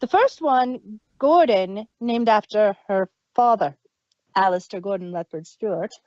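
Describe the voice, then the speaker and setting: A woman co-hosting a relaxed review conversation, walking through story details at length. Her voice sits at a middle pitch. The recording is dry and a little muffled. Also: unhurried, no room sound. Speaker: podcast co-host. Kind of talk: casual review chat.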